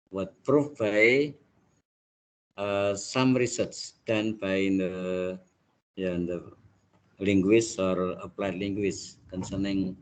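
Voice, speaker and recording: Slow, halting Indonesian-accented male lecturer. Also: on the low side, a little mellow. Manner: halting, repeats "let's say, like". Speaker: linguistics lecturer. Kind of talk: online class lecture.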